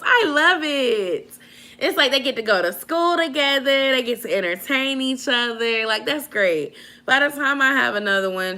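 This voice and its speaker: Young woman in her 20s, bright voice, chatty and laughing, reading comments at home. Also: a little high. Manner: animated, laughing often, slangy. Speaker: vlogger chatting with live viewers. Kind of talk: casual live chat, reading comments.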